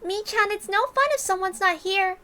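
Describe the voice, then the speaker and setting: A woman voicing a gentle, worried teenage anime girl in a sweet, coaxing manner. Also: extremely high pitch, normal speed, slight background noise, voice pushed up.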